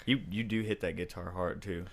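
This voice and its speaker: A man's voice: low-pitched, steady tone, fairly quick. A man hosting a casual interview, chatty and enthusiastic, tossing in slang like "dude" and "man". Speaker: interview host and musician.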